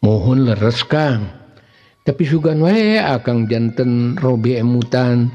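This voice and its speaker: A man's voice: mid-range voice, slow, mellow, close to an everyday voice. A Sundanese-accented man voicing an earnest young man who pleads respectfully with his elder companion in a folk tale. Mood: pleading. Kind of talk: folk tale character dialogue. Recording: long reverb, dull muffled sound.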